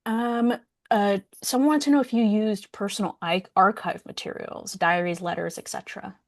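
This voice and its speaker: A woman moderating a workshop, relaying questions in a casual, halting way as she thinks aloud. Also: medium pitch, fairly even intonation. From Somali community.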